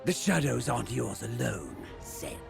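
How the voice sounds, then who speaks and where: An actor playing an immortal, condescending aristocratic blood mage in a video game, with a breathy gravelly voice and pompous, savoring delivery. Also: male voice, medium pitch, big pitch swings, music in the background. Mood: silky disdain. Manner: pompous, drawn-out, savoring words.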